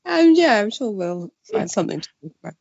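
A young woman with a gravelly, creaky edge to her voice chats casually as a podcast guest. The sound is slightly dull and quite dry, with little room around it. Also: medium pitch, very animated, moderate pace, darkish tone, no echo. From Wales. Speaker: podcast guest, freelance journalist. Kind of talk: casual interview chat.

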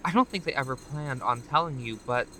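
An actress playing a smug, taunting corporate executive villain from a time-travel audio drama, theatrical and condescending. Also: female voice, very deep voice, brisk, pushed and bright, slight background noise, low-quality recording. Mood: sly, persuasive.

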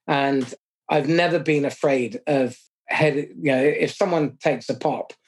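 A middle-aged man with a husky, slightly breathy voice hosts a conversational podcast. He lays out his summary point by point at a measured pace, with a self-deprecating streak. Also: medium pitch, low-quality recording. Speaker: podcast host.

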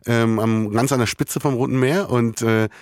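Friendly mid-pitched man, laughing easily. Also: steady tone, normal speed, dry recording, studio quality. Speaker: guest musician. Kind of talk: casual chat.

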